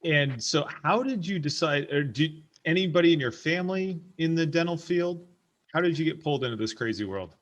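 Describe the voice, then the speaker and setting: A man's voice: a little high, expressive, brisk. A man hosting a podcast, interviewing a guest in a casual, conversational style. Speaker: podcast host.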